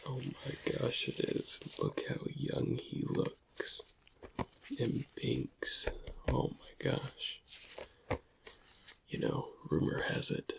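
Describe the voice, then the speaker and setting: Whispering man with heavy gravelly creak. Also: medium pitch, flat delivery, low-quality recording. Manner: slow, hushed, lingering delivery. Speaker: ASMR roleplay performer. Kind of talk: whispered ASMR roleplay.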